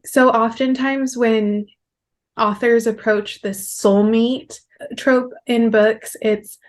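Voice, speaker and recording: Woman explaining books in a measured way. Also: medium pitch. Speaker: book review show co-host. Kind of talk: casual book discussion.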